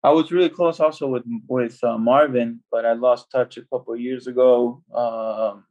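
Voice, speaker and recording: A man's voice: mid-range voice, moderate pace, dull audio. Young man rambling on a video call. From El Salvador. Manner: relaxed, rambling with many restarts. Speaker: professional footballer, guest. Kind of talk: casual video-call interview. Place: small home room. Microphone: laptop video call.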